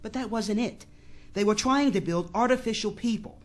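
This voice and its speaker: A man's voice: high-pitched, moderate pace, close to an everyday voice. A man playing an earnest, devout employee in a science fiction radio drama, plain-spoken, hesitant and moralizing. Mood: earnest, indignant.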